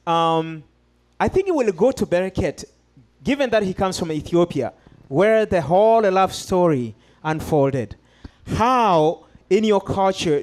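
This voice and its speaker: Brief: male panel moderator, welcoming, measured, high-pitched. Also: darkish tone. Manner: welcoming, measured, repeats praise. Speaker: program host, moderator.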